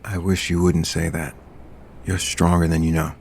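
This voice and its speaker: Low-voiced tender, spiritual man. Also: flat delivery, moderate pace, vocal fry, darkish tone, a little hiss or hum. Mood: earnest, caring. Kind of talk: audio soap opera acting.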